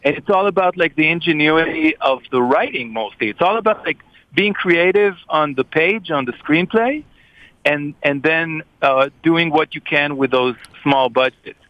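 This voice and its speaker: Man, higher voice, thoughtful. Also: normal speed, no room sound, muffled recording. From Israel. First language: Hebrew. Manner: thoughtful, hesitant with repeated restarts. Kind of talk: casual discussion.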